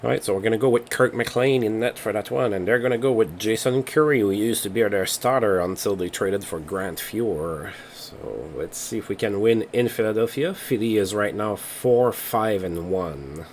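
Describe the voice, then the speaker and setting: A man's voice: on the low side, moderate pace, hardly any pauses, slight background noise. A man, not a native English speaker, hosting a let's play and talking casually through game results as he thinks aloud. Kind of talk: casual gameplay commentary.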